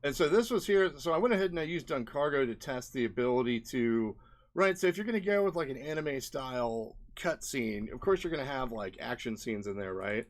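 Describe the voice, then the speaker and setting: A man's voice: medium pitch, expressive, fairly quick. A man giving casual commentary as an indie game developer, rambling and thinking aloud as he goes. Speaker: indie game developer presenting his experiments. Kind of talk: casual screen-share commentary.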